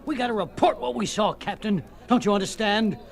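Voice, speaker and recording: A man's voice: high voice, brisk, vocal fry. An actor playing a nervous, shaken man who stammers after seeing monsters, in a 1970s radio drama. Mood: insistent. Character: nervous middle-aged man, fishing trip client.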